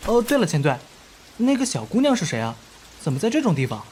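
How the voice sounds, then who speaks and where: An actor playing a cheerful young rookie police investigator in an animated drama, light and casual in manner. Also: medium pitch, fast, a little hiss or hum. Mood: carefree.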